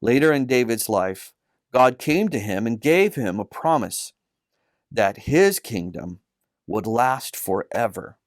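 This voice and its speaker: Male narrator for children's religious story, mid-pitched, slow and earnest, dry recording. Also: big pitch swings, dry recording. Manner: earnest, deliberate phrasing. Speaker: author and narrator.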